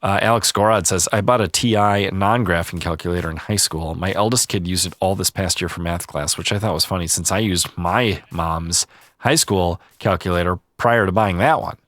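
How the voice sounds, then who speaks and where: A man hosting a relaxed gaming video, chatting calmly and reading viewer comments, a little stuffy-nosed. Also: low-pitched, normal speed, dry recording, studio quality. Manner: calm, easygoing, chatty. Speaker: gaming channel host.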